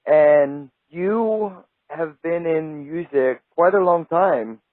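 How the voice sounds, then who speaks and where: A man's voice: fairly high pitch, takes it slowly, no room sound. A male radio host chatting casually with a guest, friendly and full of praise. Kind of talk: casual interview chat. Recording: tinny phone-like line, dry.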